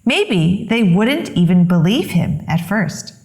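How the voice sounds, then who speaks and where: A woman reading a children's story aloud as expressive narration, with deliberate, dramatic phrasing. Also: medium pitch, echoey room. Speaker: children's story reader, host.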